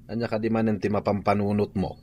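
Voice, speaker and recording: Man doing a fed up ilocano husband, lowish dry voice, scolding and nagging, radio play. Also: completely flat delivery, fast talker, a little mellow, no echo, natural voice. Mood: exasperated. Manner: nagging, reproachful. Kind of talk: Ilocano radio drama acting.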